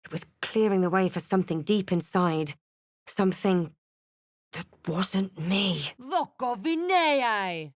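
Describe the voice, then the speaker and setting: A woman voicing a composed, snarky adventurer and spellcaster in a fantasy video game, with a deliberate, dramatic delivery. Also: mid-range voice, expressive, dry recording, studio quality. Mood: wry, observant, then commanding incantation. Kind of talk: fantasy game voice lines and scripted narration.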